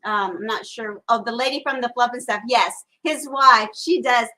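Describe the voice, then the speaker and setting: A woman's voice: a little high, moderate pace, pushed and bright. A woman co-hosting a casual live chat outdoors, reading comments aloud and rambling with many fillers, recorded on a phone camera. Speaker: live show co-host. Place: outdoors on a porch during a storm.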